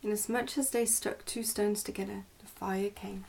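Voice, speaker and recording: A woman reads a storybook in a hushed ASMR manner, slipping at times toward a whisper. Her delivery is careful and deliberate, and she sounds a little way back from the microphone. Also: mid-range voice, steady tone, creaky, far from the mic, a little hiss or hum, poor audio. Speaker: ASMR host reading a book aloud. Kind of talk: ASMR storybook reading, soft and partly whispered.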